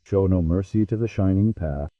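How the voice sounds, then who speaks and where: A man's voice: low-pitched, normal speed, dark tone, dry recording, far from the mic. A man reading a scripted emergency broadcast announcement in a formal, grave manner over a tinny, phone-like channel.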